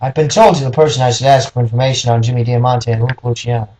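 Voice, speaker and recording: A man playing a determined, smooth-talking noir detective hero with terse, slangy speech in a radio play. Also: mid-range voice, steady tone, fast, noisy background, voice pushed down, close to an everyday voice.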